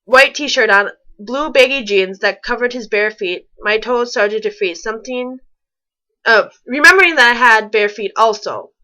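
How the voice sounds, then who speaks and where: A woman's voice: on the high side, normal speed, pushed and bright, low-quality recording. A woman reading fan fiction aloud with sarcastic, incredulous casual commentary, stumbling over the text now and then.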